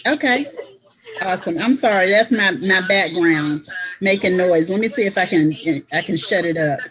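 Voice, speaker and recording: A woman's voice: fairly low pitch, normal speed, crowd noise. A woman hosting a casual online panel chat in an upbeat way, often saying "awesome.". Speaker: host. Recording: dull lo-fi web call audio.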